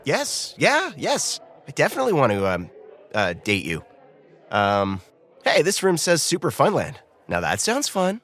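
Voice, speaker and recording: A man's voice: fairly high pitch, huge pitch swings, a little slow, fairly bright tone, full character voice. An actor playing an awkward, easily embarrassed young man on a playful date, talking hesitantly and naturally in a romcom audio drama. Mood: flustered, eager. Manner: hesitant, bashful, conversational.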